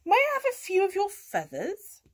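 Woman voicing a high, friendly, coaxing storybook cat. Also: wildly swooping pitch, normal speed, full character voice. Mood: bright, coaxing. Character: friendly female farm cat, coaxing and politely asking.

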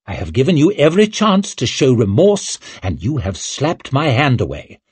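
A man's voice: medium pitch, big pitch swings, slow pace, slightly rough, heavily acted. A man voicing an angry young king in an audiobook, icy, imperious and snapping out commands. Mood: icy judgement. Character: angry young king, cold, imperious, passing stern judgement.

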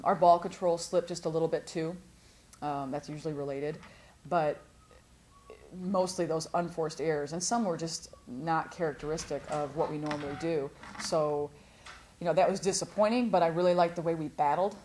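A woman in her forties, a head volleyball coach, answering postmatch questions in an earnest, halting way with many restarts. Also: low voice, darkish tone, a little hiss or hum. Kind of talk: postmatch interview. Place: studio before a sponsor backdrop.

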